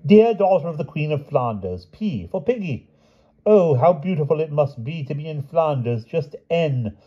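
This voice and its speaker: A dithering, old-fashioned king from a storybook, eager and blustering with upper-class tics. The voice is pitched high and a little husky, on dull lo-fi audio. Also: expressive, normal speed. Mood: earnest, hopeful.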